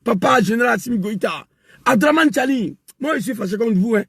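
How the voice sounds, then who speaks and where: Husky high-pitched man ranting. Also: animated, brisk, dry recording, studio quality. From West Africa. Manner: emphatic, repetitive, rising to shouts. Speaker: political commentator. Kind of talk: impassioned political rant.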